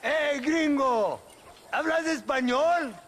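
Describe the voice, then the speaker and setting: An actor playing a swaggering Mexican bandit leader in a game cutscene, taunting and chuckling with drawn-out menace. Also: male voice, extremely high pitch, animated, fairly bright tone, slight background noise, full character voice. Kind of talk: video game cutscene acting.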